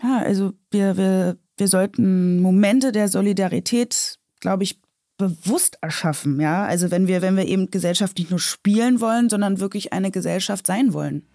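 A woman's voice: mid-range voice, normal speed, mellow, no room sound, studio quality. A woman answering as an interview guest in a thoughtful, searching way, hesitating and repeating words.

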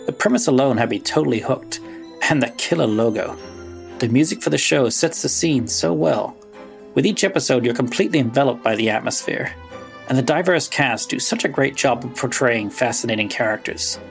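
A man's voice: medium pitch, gravelly. A man reading scripted announcements and credits as a podcast host.

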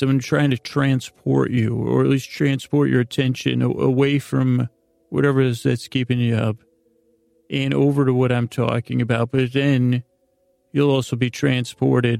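An American man hosts a bedtime podcast in a heavily creaky, gravelly voice at a middling pitch. He meanders through tangents, halting and trailing into long pauses. The sound is close and dry, with no room around it. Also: a little mellow, dry recording. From United States. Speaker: podcast host. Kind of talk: rambling bedtime podcast monologue.

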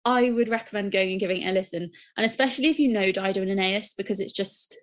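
A woman's voice: medium pitch, fast. A young woman, co-hosting a podcast, talking enthusiastically and rambling with restarts. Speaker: podcast co-host. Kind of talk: casual chat.

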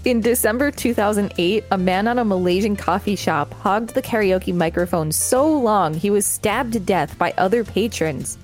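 Woman, podcast co-host, middle pitch, article reading, casual remarks, music in background. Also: moderate pace, hardly any pauses.

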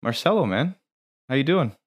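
A man's voice: medium pitch, sing-song, moderate pace, no echo, faint music behind. A man hosting a podcast from his own small studio, keeping the chat going with short, friendly prompts. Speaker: podcast host. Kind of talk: casual chat.

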